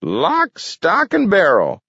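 A man voicing a feisty, folksy old grandfather from a storybook, shrill and crowing. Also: animated, unhurried, no echo, muffled recording. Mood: triumphant.

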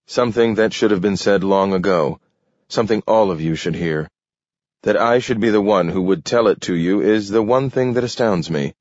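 A grim, resolute male official, voiced by a man reading a story, stern and measured. His lowish voice sits in a close, dry recording with a slightly dull sound. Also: darkish tone, no room sound, close to an everyday voice. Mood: grave, resolute.

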